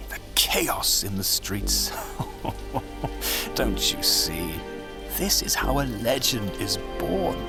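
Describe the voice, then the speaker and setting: An actor playing a scheming, grandiose conspirator in a scripted audio drama, speaking in silky speeches. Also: male voice, on the low side, heavily acted. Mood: exultant. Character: scheming, theatrical male political conspirator relishing intrigue.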